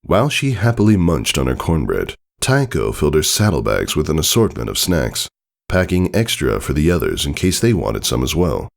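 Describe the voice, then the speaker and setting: A man's voice: a bass voice, huge pitch swings, moderate pace, vocal fry. A man reading a story aloud as a narrator, in dramatic scripted storytelling style. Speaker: story reader.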